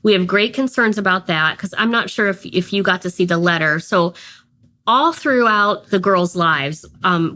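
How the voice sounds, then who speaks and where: A woman's voice: fairly low pitch, a bit bright. Woman from Ohio recounting events. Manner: recounts events, quoting others in dialogue. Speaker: guest. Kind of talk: conversational storytelling.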